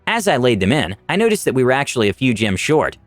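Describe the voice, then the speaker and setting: A man's voice: mid-range voice, sing-song, quick pace, no echo. A man narrating a scripted fantasy adventure story in the first person, with dramatic delivery. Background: music.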